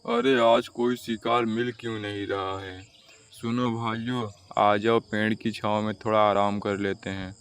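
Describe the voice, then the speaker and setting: A man's voice: on the low side, level tone, unhurried, fairly bright tone, clean tone. A man voicing a responsible, caring eldest brother crow in a children's cartoon story. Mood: puzzled.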